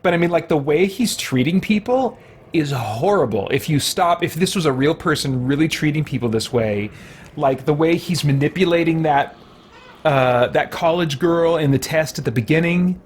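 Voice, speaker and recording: A man does casual livestream commentary in a slightly high voice. He rambles and muses aloud to viewers. Light noise sits behind his voice. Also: animated, moderate pace. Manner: rambling, thinking aloud, chuckling often. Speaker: game livestream host.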